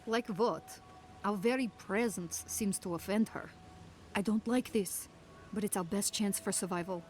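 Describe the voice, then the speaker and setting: An actress playing a worried, practical settlement leader in a video game, plain-spoken and pressing. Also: female voice, mid-range voice, normal speed, soft background music, natural voice. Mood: worried, resolute. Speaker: community leader. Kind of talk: naturalistic video game dialogue.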